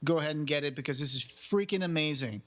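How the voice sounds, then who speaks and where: A man's voice: fairly high pitch, fast talker, a bit bright, dull audio. A man co-hosting a podcast, chatting casually and emphatically as he enthuses through a review. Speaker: podcast co-host.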